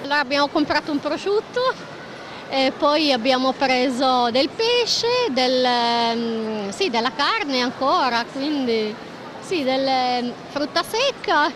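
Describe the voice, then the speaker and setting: Young woman, high voice, chatting casually to a reporter, noisy mall. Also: animated, takes it slowly. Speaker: shopper being interviewed. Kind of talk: casual interview answers. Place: indoor shopping mall. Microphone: interviewer's handheld microphone. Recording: noisy shopping mall ambience.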